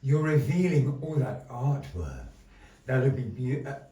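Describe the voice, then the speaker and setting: A man acting as master of ceremonies at a live show, polite and halting, with a mock-flustered theatrical patter. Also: mid-range voice, very animated, dark tone. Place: indoor gallery performance space. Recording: roomy live venue, distant mic, faint noise.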